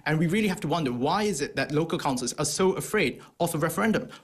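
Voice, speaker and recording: A young man, a think-tank researcher on a video call from an office, making brisk, assertive points. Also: fairly high pitch, expressive, breathy edge. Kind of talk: remote interview commentary. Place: office room.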